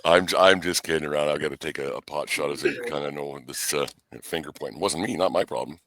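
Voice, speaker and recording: A man's voice: extremely low pitch, quick pace, a bit bright. A man hosting a casual sports interview, joking, teasing and rambling as he chats with his guest. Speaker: sports podcast host.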